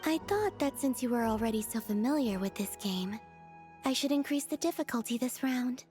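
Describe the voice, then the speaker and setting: A woman voicing a serene young moon goddess in a video game, soft and breathy, with unhurried, dreamy, formal phrasing. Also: on the high side, expressive, faint music behind, lightly acted. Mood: serene, playful. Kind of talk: scripted video game dialogue.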